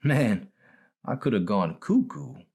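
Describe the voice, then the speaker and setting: A man's voice: medium pitch, animated, takes it slowly, vocal fry, a little mellow, husky, voice pushed up, full character voice. A man reads as a frail old hospital patient in a storybook. He is ornery and fond of teasing, with a voice that rises and falls freely. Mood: grumbling, teasing. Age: older.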